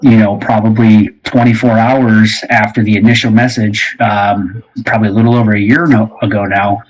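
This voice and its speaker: Man, low pitch, husky tone, casual host style, rambling, conversational. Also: little pitch movement, normal speed, low-quality recording. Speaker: host and game creator.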